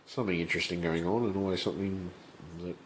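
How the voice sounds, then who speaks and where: A man with blocked sinuses, talking off camera at a home workbench in a rambling, halting way that breaks into swearing when he is frustrated. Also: deep voice, fairly even intonation, background noise. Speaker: channel host. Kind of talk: casual vlog.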